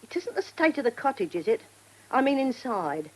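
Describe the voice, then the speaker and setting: Nosy, high-voiced manor servant. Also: female voice, big pitch swings, moderate pace, darkish tone, rough recording. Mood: prying. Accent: working-class southern English. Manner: inquisitive, gossipy, rambling. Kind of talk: radio drama acting.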